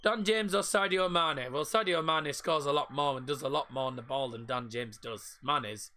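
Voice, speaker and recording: A man, voice croaky from a sore throat, giving casual live football commentary and rambling chat on his own stream. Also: fairly high pitch, moderate pace, pushed and bright, a little hiss or hum. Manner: casual, rambling, mixes up names.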